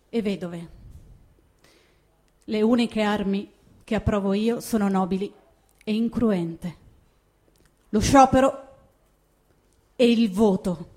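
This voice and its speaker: A woman with a fairly high voice reads a text aloud as a stage performance. Her delivery is declamatory and emphatic, set out in deliberate phrases. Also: darkish tone. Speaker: stage reader. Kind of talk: dramatic literary reading.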